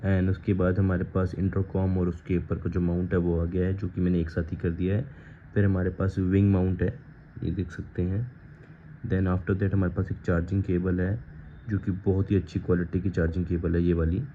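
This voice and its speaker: A man's voice: sits low, flat delivery, normal speed. An Indian man giving a casual product walkthrough in an informal way, slipping between Hindi and English phrases. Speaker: vlogger reviewing gear. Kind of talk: casual unboxing and installation walkthrough. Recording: muffled lo-fi audio with faint background noise.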